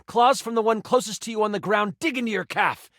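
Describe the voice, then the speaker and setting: A man's voice: high-pitched, brisk, a bit bright, no echo, clean studio sound. A man playing a playful game master, narrating a fantasy tabletop adventure in a theatrical, dramatic storytelling style. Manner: theatrical, second-person storytelling.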